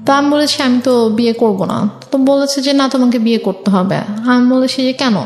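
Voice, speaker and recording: A woman's voice: sits high, a little fast, darkish tone. A woman with a Bangladeshi accent telling her own life story as a guest, conversationally, quoting what people said. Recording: echoey, reverberant room.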